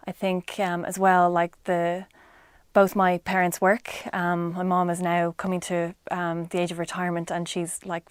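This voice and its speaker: A woman's voice: lowish voice, smooth, no echo. A young woman with an Irish accent giving her opinion casually and hesitantly, with many fillers. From Ireland.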